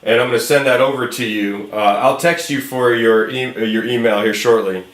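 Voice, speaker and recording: Male film director, mid-pitched and encouraging, chatty informal delivery, roomy echo on the voice. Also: steady tone, brisk, a bit bright. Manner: encouraging, informal, frequent "man" and "cool". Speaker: film director casting an actor. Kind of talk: casual phone call and talk to viewers. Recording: roomy, echoey room sound.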